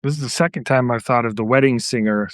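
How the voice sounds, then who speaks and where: A man's voice: mid-range voice, fast, no echo, studio quality. A man taking part as a panelist in a casual chat about music. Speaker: podcast panelist.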